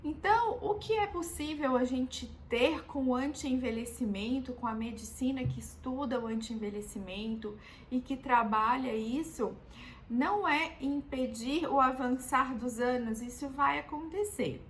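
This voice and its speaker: A Brazilian woman in her thirties, a doctor, patiently explaining a health topic in a calm, teaching way and often checking in with the listener. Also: high voice, a little slow, a little hiss or hum. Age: 30s. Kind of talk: explanatory health video talk.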